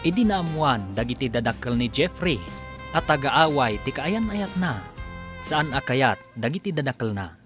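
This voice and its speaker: A man's voice: mid-range voice, sing-song, moderate pace. A man with an Ilocano accent narrating a radio drama as its announcer in a dramatic scripted style. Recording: radio narration over loud music bed.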